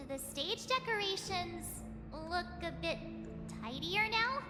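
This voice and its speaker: A woman voicing a perky, curious young girl adventurer in a video game, bubbly and expressive. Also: very high-pitched, slow, full character voice. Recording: echoey hall reverb, music behind.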